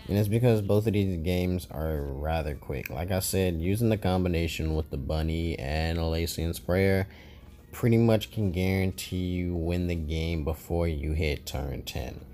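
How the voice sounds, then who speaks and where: A man giving relaxed, rambling commentary over his card game matches, often correcting himself mid-sentence. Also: low-pitched, a little slow, soft background music. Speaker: gaming YouTuber. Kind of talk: casual gameplay commentary.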